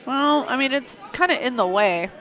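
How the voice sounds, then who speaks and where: A woman's voice: sits high, expressive, fairly bright tone, a faint crowd, rough recording. A woman from Iowa doing light, easygoing color commentary on a curling match and laughing easily. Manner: light, laughs easily, quick agreeing remarks.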